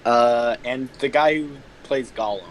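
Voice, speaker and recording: A man's voice: mid-range voice, unhurried. A man chatting casually as a guest on a livestream call, blunt and sweary. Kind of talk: casual chat. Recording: faint background noise on call.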